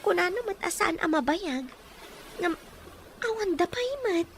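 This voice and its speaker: A woman's voice: very high-pitched, lively intonation, unhurried, fairly bright tone, exaggerated voice. A woman playing a questioning female character in a scripted melodrama, recorded with some background noise. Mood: complaining. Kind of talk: scripted Ilocano audio drama dialogue.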